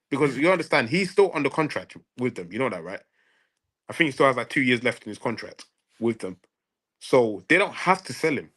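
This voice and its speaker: A man's voice: medium pitch, fairly bright tone. A man in a casual football transfer debate, speaking emphatically and repeating phrases for stress. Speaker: football fan show panelist.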